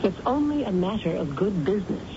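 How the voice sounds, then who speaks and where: A woman's voice: mid-range voice, very animated. Commanding middle-aged American mother, muffled radio drama. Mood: brisk, hard. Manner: brisk, commanding, emotional. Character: hard-driving businesswoman and controlling, wounded mother. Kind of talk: 1970s radio drama acting. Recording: muffled, noisy old radio broadcast recording.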